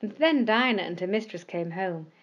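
A woman's voice: mid-range voice, lively intonation, moderate pace. Woman storyteller, slightly muffled recording. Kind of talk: scripted narration of children's bedtime stories.

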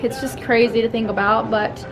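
A young woman filming a casual vlog at home, chatting and rambling with fillers and soft laughs, camera held close to her face. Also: fairly high pitch, level tone, moderate pace, rough recording. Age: young adult. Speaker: vlogger. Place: living room at home. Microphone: handheld camera close to face.

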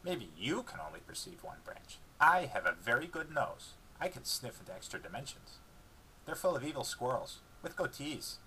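A man's voice: medium pitch, very animated, moderate pace, sharp tone, slight background noise, rough recording. A man voicing an eager, cheeky, food-obsessed female pet dog in a dramatic book reading, casual and argumentative.

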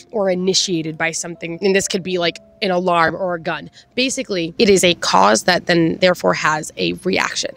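A woman's voice: lowish voice, vocal fry. A young woman with a congested voice hosting a faith vlog outdoors in a park, chatting, laughing and praying earnestly into a small handheld mic. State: congested from sinus infection. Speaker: faith vlogger and host. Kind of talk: casual devotional talk and prayer. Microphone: handheld clip-on mic held near mouth.

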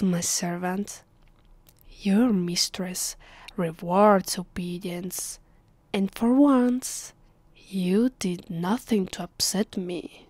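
A woman with an Italian accent voicing a haughty, possessive vampire mistress in a close, hushed ASMR roleplay, condescending and commanding. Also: mid-range voice, no room sound. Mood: soothing, commanding. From Italy. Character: haughty, possessive female vampire mistress addressing her captive. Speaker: ASMR voice actress. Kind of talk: ASMR roleplay, slow, close, often whispered. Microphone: close microphone, dry.

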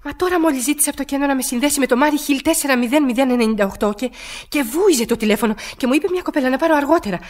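An actress as a high-pitched, frantic, imperious woman caller. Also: few pauses, close to an everyday voice. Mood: alarmed, pleading. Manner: agitated, stammering, insistent. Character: anxious, demanding woman caller, panicky and imperious. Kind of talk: radio play acting.

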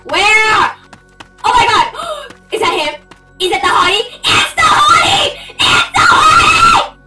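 Woman voicing a very high, shouty anime schoolgirl. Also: recorded from a distance, heavily acted. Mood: giddy, boy-crazy. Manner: sassy, dramatic. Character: excitable foul-mouthed anime schoolgirl, bewildered and boy-crazy. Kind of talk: abridged anime parody dub. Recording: roomy, shouted into mic, music behind.

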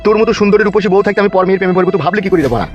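A man's voice: high-pitched, very fast, muffled recording, loud music behind the voice, lightly acted. A man voicing an exasperated, argumentative husband and father in a comedy cartoon. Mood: exasperated quarrelling. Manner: blustering, argumentative, swearing. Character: foul-mouthed, exasperated husband and father, blustering and defensive. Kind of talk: Bengali comedy cartoon dubbing.

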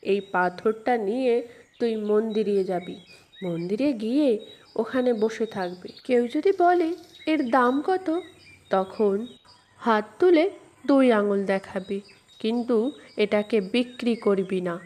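A woman's voice: fairly high pitch, animated, slow pace, pauses often, a little mellow. A woman voicing a patient father in a children's cartoon story, explaining things to a child step by step. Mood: patient, instructing. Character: patient, instructive father of a young boy.

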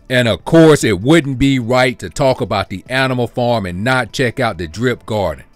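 Man, channel host, screen-share walkthrough, steady explainer, dry close sound, faint background music. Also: mid-range voice, no echo. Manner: steady, explanatory, walks through figures step by step.

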